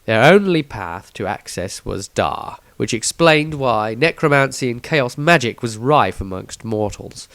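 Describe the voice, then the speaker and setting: A man's voice: mid-range voice, lively intonation, measured pace, vocal fry, hardly any pauses, fairly bright tone, no echo. A man reading his own fantasy story as a scripted, dramatic audiobook narration.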